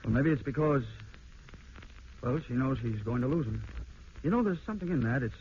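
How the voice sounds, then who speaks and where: Brisk husband played by a man, old radio. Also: medium pitch, lively intonation, a little mellow, close to an everyday voice. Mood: reflective. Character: plain-spoken, rueful husband, kindly but troubled. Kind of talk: old-time radio drama acting. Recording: dull, noisy old radio recording.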